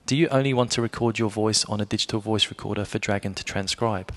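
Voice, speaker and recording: A man reading a scripted explainer review as a blogger, in a measured way, speaking directly to the listener. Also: lowish voice, fairly bright tone. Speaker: blogger presenting a product review.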